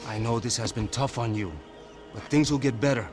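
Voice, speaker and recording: An actor playing the composed, reassuring brother in a rich Brazilian family, in a cinematic video game. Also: male voice, medium pitch, brisk, a little mellow, natural voice. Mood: consoling. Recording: music behind the voice.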